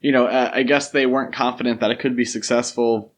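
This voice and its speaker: A man's voice: mid-range voice, steady tone, fast talker. A man hosting a podcast, discussing film in a casual but detailed, explanatory way. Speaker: podcast host.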